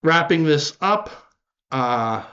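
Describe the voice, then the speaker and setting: A man in his fifties hosts a casual podcast, talking in a wry, rambling way and making fun of himself. His voice sits a little high. The sound is dull and lo-fi. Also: animated, slow, sharp tone. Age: 50s. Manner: wry, rambling, self-deprecating. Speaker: podcast host.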